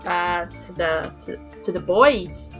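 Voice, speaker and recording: A woman's voice: fairly low pitch, slow, a bit bright, soft background music. A woman with a New Zealand accent, playing a tabletop game and thinking aloud hesitantly about her moves. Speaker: player. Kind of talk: casual tabletop play.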